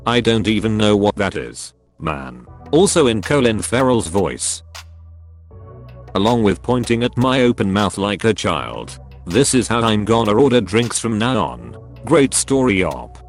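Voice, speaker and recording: Animated male story narrator, music behind. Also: on the low side. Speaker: narrator reading online stories. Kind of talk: scripted narration of forum posts.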